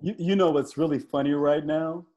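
A man's voice: on the high side, fairly even intonation, moderate pace, mellow, dull audio. A middle-aged American man casually interviewing someone over a video call from an indoor room. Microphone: wired earphones on a video call.

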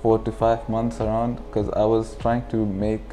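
A man's voice: fairly low pitch, completely flat delivery, moderate pace, warm tone, soft background music. A man in his twenties from the UAE, chatting informally as an interview guest and explaining things, on a lapel mic indoors. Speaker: interview guest, clothing brand founder. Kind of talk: casual interview talk. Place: furnished indoor lounge.

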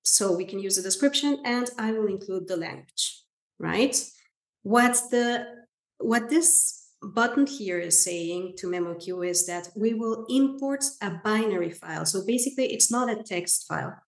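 A woman with a Spanish accent, a native Spanish speaker, walking viewers through software in a webinar, often checking in with "right?" and "okay?". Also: mid-range voice, a little slow, reverberant. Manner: friendly, explanatory, with frequent "right?" and "okay?". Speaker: software trainer. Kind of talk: webinar screen-share demo. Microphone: video call.

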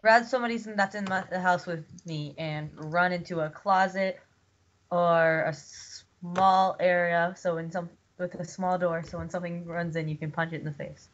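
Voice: mid-range voice, steady tone, moderate pace. A young gamer chatting casually as a co-player in a gaming video. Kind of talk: casual chat while gaming. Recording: dull, clearly noisy mic with clicks.

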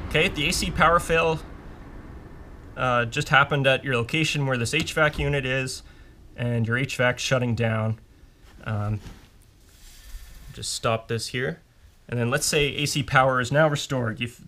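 A man's voice: mid-range voice, noisy background. A man giving a casual, step-by-step technical demonstration as an instructor at a workbench. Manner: informal, explanatory, step-by-step. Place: indoors at a workbench.

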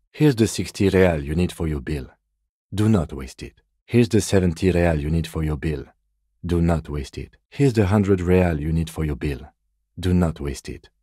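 Super deep, mellow game detective, dry deadpan, formal and understated. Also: male voice, husky, no echo, exaggerated voice. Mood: dry, stern. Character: reserved, dry-witted, principled male police detective partner. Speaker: video game voice actor. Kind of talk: scripted video game dialogue lines.

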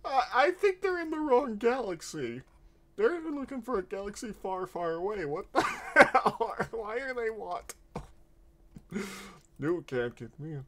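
Rambling animated man reacting to a video. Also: high voice, a little slow. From half Mexican. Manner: rambling, stumbles and restarts words. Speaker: vtuber reacting to a gameplay video. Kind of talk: casual reaction commentary.

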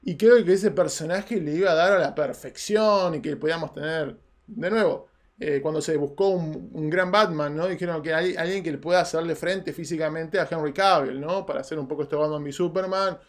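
A man's voice: on the high side, a little fast. A man hosting film news in a small studio, talking animatedly in casual commentary. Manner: animated, gestures a lot while talking. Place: small studio with red curtain backdrop.

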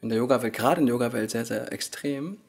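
A man in his thirties, Korean-born, who speaks with many pauses and fillers as a yoga teacher answering interview questions, recorded indoors on a handheld recorder. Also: mid-range voice, gravelly, breathy edge. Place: hotel lounge at a conference, indoors. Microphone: handheld recorder held by the interviewer.

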